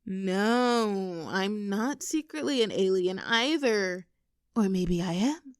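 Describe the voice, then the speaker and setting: A woman's voice: medium pitch, animated, clean tone, no echo, clean studio sound. A woman playing an affectionate, teasing girlfriend with a vulnerable streak in an intimate roleplay audio drama. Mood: playful, teasing. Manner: teasing, halting when earnest.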